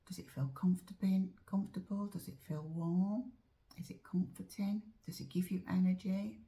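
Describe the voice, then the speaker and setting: A woman guiding a meditation in a soft, often whispered voice, slow and drawn-out, a little chesty as she is losing her voice. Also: fairly low pitch, fairly even intonation. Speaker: meditation teacher. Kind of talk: guided meditation.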